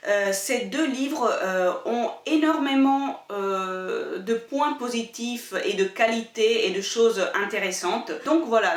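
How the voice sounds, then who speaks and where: A woman speaks to camera from a little way off the mic, and the room rings around her voice. She explains things carefully, coming back to rephrase her points, at an ordinary pitch for a woman. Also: roomy sound, recorded from a distance. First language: Italian. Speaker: book and culture YouTuber. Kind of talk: casual talk to camera.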